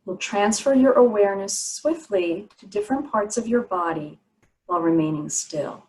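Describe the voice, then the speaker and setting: Woman guiding a slow relaxation meditation. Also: medium pitch, a little mellow, a little hiss or hum, low-quality recording. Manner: soothing, unhurried instructions with pauses. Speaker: yoga nidra meditation teacher.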